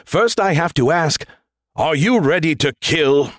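Theatrical AI commander, smug, mischievous, swooping pitch, drawn-out words, audiobook character, acted by a man. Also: expressive, dry recording, studio quality. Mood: teasing, dramatic. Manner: showy, drawn-out dramatic delivery.

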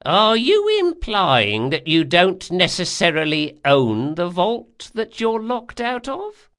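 Man voicing a sharp-tongued old woman, shrill and swooping. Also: wildly swooping pitch, takes it slowly, full character voice. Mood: shrewd, probing. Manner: brusque, exclamatory, scolding. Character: sharp-tongued old female master artificer, brusque and impatient.

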